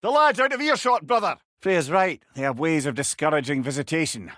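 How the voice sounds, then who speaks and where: An actor voicing a clever, chatty old companion in a video game, with a Scottish accent and a wry, eloquent way of talking. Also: male voice, fairly high pitch, expressive, a little fast, a bit bright, no echo, clean studio sound. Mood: urgent, shouting warning. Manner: wordy, eloquent, wry storyteller. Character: clever, chatty, sardonic old male severed head companion. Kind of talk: video game character dialogue.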